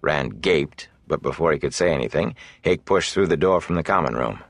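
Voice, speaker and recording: A man's voice: rumbling low, expressive, normal speed, dry recording. A man reading a fantasy audiobook as dramatic scripted narration, close to the microphone.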